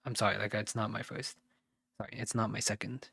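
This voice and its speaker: A man's voice: fairly low pitch, little pitch movement, fairly quick, creaky, sharp tone, breathy edge, no echo, clean studio sound. A man from the United States working through math questions live, thinking aloud, muttering and correcting himself as he goes. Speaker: SAT tutor streaming live.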